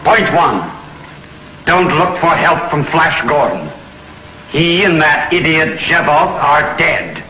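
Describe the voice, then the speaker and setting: An actor playing a gloating alien intelligence chief in a 1950s television sci-fi serial, pompous, theatrical and menacing. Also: male voice, a little high, takes it slowly. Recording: muffled, noisy, echoey 1950s TV soundtrack.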